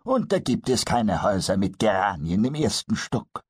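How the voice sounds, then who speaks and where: A man voicing a kindly old master carpenter in a storybook reading, patient and gently explaining. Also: fairly low pitch, sing-song, normal speed, breathy edge, no echo, clean studio sound, voice pushed up, heavily acted. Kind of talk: storybook character voice.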